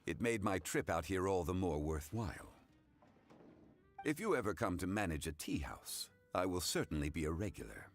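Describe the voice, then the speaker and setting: An old-fashioned, courteous connoisseur from a video game. The voice is very deep yet melodically varied, with a formal, measured delivery. Soft music sits underneath. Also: male voice, sing-song. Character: refined, old-fashioned gentleman tea connoisseur, calm and courteous.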